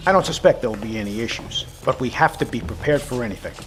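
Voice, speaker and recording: A man's voice: mid-range voice, lively intonation, fast, lightly acted, poor audio. An American man playing a commanding, wary Navy submarine captain in an audio drama, with clipped, authoritative military delivery. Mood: firm, commanding. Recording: clear ambient background noise.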